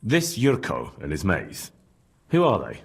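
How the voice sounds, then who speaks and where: Lively young blacksmith's hand. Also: male voice, low voice, unhurried, breathy edge, exaggerated voice. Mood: polite, curious. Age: young adult. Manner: polite, plain-spoken. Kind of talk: video game dialogue acting.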